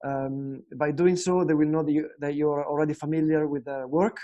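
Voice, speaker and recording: A young man with an Italian accent talks through a guitar lesson in a relaxed, unscripted way. His voice sits a little high but sounds mellow and warm, and he often pauses on fillers and restarts his sentences. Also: highs cut off. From Italy. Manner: hesitant, many fillers and restarts. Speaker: guitar teacher. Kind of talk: casual spoken lesson.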